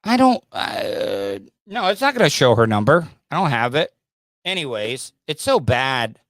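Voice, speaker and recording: A man's voice: medium pitch, very animated, a little slow, fairly bright tone, dry recording, clean studio sound. A man hosting a casual podcast, talking loosely with restarts and fillers, sweaty and coming down with a sinus infection. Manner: rambling, restarts and fillers, joking. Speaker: podcast host. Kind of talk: casual livestream chat.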